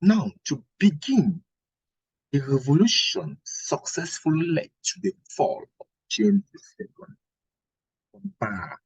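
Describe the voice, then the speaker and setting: A man teaching an exam-prep lesson in a deliberate, didactic way, posing questions and answering them himself, with a husky, gravelly voice. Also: a little high, sing-song, no room sound, muffled recording. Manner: didactic, deliberate, checks with "right?" and rhetorical questions. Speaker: history teacher preparing exam candidates. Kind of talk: instructional exam-prep lecture.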